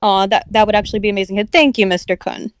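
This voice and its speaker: Smooth-voiced chatty woman co-host. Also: medium pitch, fairly even intonation, fast talker, no room sound. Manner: chatty, earnest, with frequent filler words. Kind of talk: casual chat on a stream.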